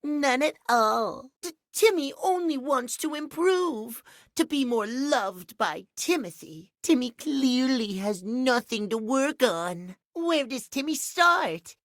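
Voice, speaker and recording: A man's voice: extremely high pitch, lively intonation, slow pace, fairly bright tone, no echo, clean studio sound, heavily acted. A man voicing a vain, needy, childish male cat clock in a video game, using pouty third-person baby talk. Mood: huffy, defensive. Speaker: voice actor. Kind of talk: video game character acting.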